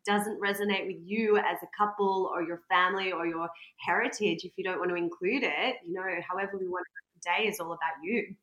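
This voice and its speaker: A woman's voice: on the low side, steady tone, quick pace, fairly bright tone. A woman hosting a podcast interview, talkative and asking long run-on questions. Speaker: podcast host. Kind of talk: casual interview chat. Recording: roomy echoey sound.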